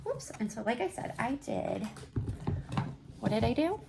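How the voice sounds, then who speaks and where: Creaky-voiced woman chatting casually about books. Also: fairly high pitch, very animated, measured pace, heavy background noise. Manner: rambling, self-interrupting, asks herself questions. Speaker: book reviewer and vlogger. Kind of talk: casual book-talk vlog, unscripted chat.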